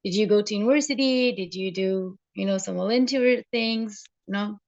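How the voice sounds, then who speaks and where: A woman's voice: medium pitch, moderate pace, a little mellow, dry recording. A woman participant politely and a little hesitantly asking questions on a video call. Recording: dull, dry video call audio.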